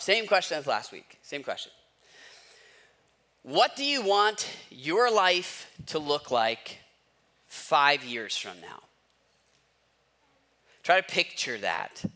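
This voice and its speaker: A man's voice: fairly high pitch, bright, forceful tone. A man giving a conversational sermon as a pastor, asking rhetorical questions and repeating key phrases for emphasis.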